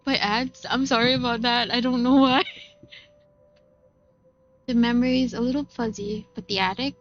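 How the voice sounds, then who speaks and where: A woman's voice: on the high side, fairly even intonation, moderate pace, muffled recording. A woman streamer reading visual novel narration aloud, with casual reactions of her own.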